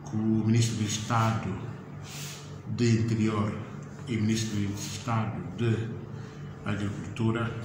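A middle-aged man speaking as spokesman at a press conference, formal and measured, stressing words slowly. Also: fairly low pitch. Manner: measured, formal, slow and emphatic, opens with Arabic blessings. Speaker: spokesman of a moon-sighting commission. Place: yellow-walled meeting room, indoors. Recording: echoey room with background noise.

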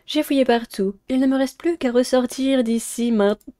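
A woman's voice: sits high, moderate pace, warm tone. A young woman, casually commenting on a game and reading its text aloud. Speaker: streamer playing a visual novel.

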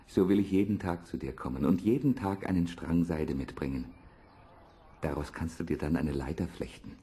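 Deep-voiced earnest young prince. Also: male voice, normal speed, warm tone, slightly rough, full character voice. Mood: tender, ardent. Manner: declaiming, storybook formality. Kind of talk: fairy-tale audio drama.

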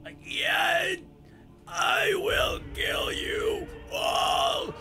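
A man voicing a cruel, gloating demon that has possessed a hero, shouting drawn-out threats in a harsh, strained voice. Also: very high voice, wildly swooping pitch, gravelly, over music, full character voice. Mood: menacing rage. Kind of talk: anime-style voice acting practice monologue.

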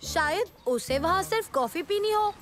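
A woman voicing an excitable young boy in a dubbed animated comedy. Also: very high voice, normal speed. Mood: curious. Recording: music under the voice.

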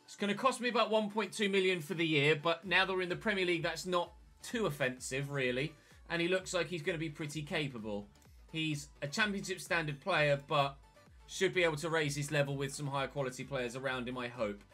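Young man, headset streamer, bright upbeat voice, chatty and thinking aloud, faint music underneath. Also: fairly high pitch, a little fast. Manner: chatty, thinks aloud while clicking through menus. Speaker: gaming YouTuber. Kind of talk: casual let's-play commentary. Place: small home room.

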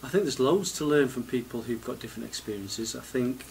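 Middle-aged man, head teacher, earnest and reflective, mid-pitched voice, faint background hiss. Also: a little fast, gravelly, darkish tone. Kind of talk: interview answer. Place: small school office, indoors.

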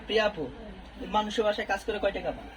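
A man's voice: sits high, rapid-fire. A man with a Bangladeshi accent doing a casual interview, asking chatty, prodding questions. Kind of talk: casual street-report style interview. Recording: noisy location with clear background noise.

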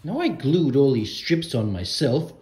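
A man's voice: medium pitch, very animated, unhurried, darkish tone, roomy sound, soft background music. A man voicing a cheerful, boastful stuffed bear who presents crafts for children in a puppet show. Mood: explaining.

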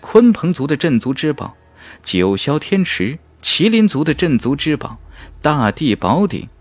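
A man reading a fantasy novel as an audiobook narrator, in a dramatic, measured and emphatic storytelling manner. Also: fairly high pitch, sing-song, no room sound.

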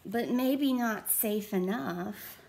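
A woman in her thirties reading a picture book aloud to children, with deliberate theatrical emphasis and questions to her listeners. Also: medium pitch, gravelly, darkish tone, slight background noise, rough recording. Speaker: read-aloud storyteller. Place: small room at home.

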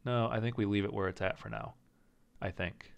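Male gaming host, low clear voice, relaxed thinking-aloud commentary, mild rasp from a cough. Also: a little fast, highs cut off. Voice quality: coughing, slightly raspy. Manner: thinking aloud, indecisive, self-talking. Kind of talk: casual let's-play commentary.

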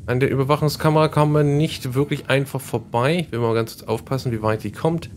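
A man's voice: mid-range voice, fairly even intonation, quick pace, a little hiss or hum. A man hosting a Let's Play, chatting casually and explaining the game as he plays. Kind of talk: casual gameplay commentary.

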